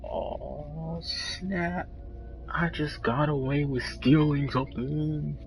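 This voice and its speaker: A man's voice: on the high side, steady tone, takes it slowly, vocal fry. A man chatting casually with a friend while playing video games. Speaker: gaming friend in voice chat. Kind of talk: casual chat while gaming. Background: faint game music.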